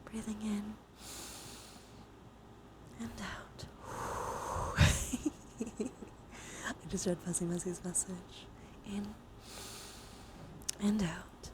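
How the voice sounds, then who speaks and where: A woman leading a guided ASMR relaxation, speaking softly and mostly in whispers, with a soothing, gentle manner. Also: medium pitch, fairly even intonation, extremely slow, pauses often. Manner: soft, mostly whispered delivery. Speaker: live streamer leading relaxation exercises. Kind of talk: ASMR guided breathing and body scan with chat asides. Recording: clear background noise.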